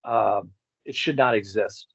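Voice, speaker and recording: A man chatting casually as an interview guest, halting and informal, often repeating words for emphasis. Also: fairly low pitch, creaky, fairly bright tone, husky, no room sound, lo-fi sound. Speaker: interview guest, tour organizer. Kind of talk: casual rambling conversation.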